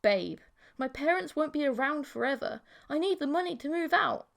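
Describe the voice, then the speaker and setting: A woman's voice: high-pitched, expressive, moderate pace, vocal fry, pitched above the performer's own voice. A woman playing an awkward, easily flustered geeky young man in an audiobook, hesitant and submissive to his girlfriend. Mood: reluctant, firm. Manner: hesitant, stammering.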